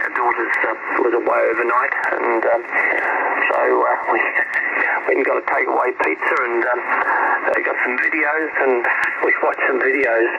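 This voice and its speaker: Very loud static and a dull shortwave sound surround a middle-aged Australian man with a creaky voice. He talks haltingly and casually as a ham radio operator, pausing often between phrases. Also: medium pitch. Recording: muffled long-distance shortwave, loud static noise.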